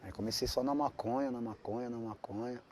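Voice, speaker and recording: A man tells his life story in a documentary interview. He speaks haltingly, often restarts his phrases and ends many of them with tag questions. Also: mid-range voice, a little mellow. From São Paulo, Brazil. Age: 40s.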